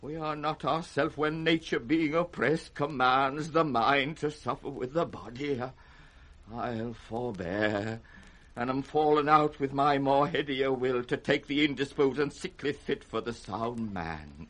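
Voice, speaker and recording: An old king played by a man in 1960s radio Shakespeare, slow and piercingly sharp. He is proud and volatile, a wounded ruler unravelling in grand, wrathful speech. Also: medium pitch, lightly acted. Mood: wounded restraint. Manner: grand, volatile, swinging from thunder to broken murmurs.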